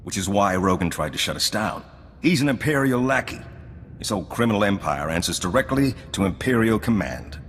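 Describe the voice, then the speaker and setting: An actor playing a roguish, flirtatious smuggler in a video game, with a wry, theatrical delivery. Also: male voice, on the low side, very animated, fairly quick, a little hiss or hum. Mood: urgent. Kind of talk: video game cutscene acting. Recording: long hall reverb, faint music behind.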